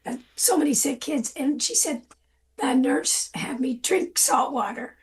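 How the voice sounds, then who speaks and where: An older hoarse woman, a farm safety guest on a radio show, talking casually and rambling with a self-deprecating manner. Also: high-pitched, expressive, measured pace.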